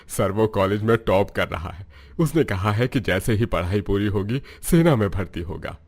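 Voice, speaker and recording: Humble roadside eatery owner played by a man, cartoon story, lowish voice, grateful and deferential. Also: moderate pace, natural voice. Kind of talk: Hindi moral story cartoon dub.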